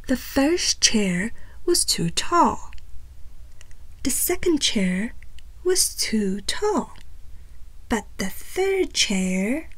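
Animated, high-voiced woman storyteller. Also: extremely slow, long pauses, a little hiss or hum, poor audio. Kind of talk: picture-book read-aloud narration.

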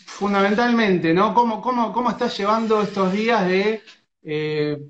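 A man's voice: high-pitched, moderate pace, slight background noise. A man with an Argentine Rioplatense accent, interviewing a young footballer over a phone live stream, talking in hesitant stops and restarts. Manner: hesitant, many fillers and restarts. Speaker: sports radio interviewer. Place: indoors, small room. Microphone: phone front camera. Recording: roomy, muffled phone audio.

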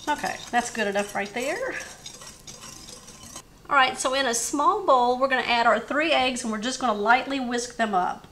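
A woman's voice: sits high, expressive, normal speed, pushed and bright, a little hiss or hum. Chatty woman in an echoey kitchen. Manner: chatty, upbeat, laughs often, addresses viewers as y'all. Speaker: cooking show host. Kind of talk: home cooking demonstration. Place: home kitchen, indoors.

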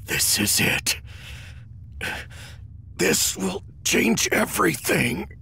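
Game hero: rough, defiant alien warrior, drawn-out dramatic lines, high-pitched strain, forceful tone. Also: male voice, expressive, heavily acted. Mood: grim resolve. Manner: gruff, dramatic, impassioned. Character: defiant, hot-blooded male alien warrior, rough and reckless. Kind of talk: intense English game dub acting.

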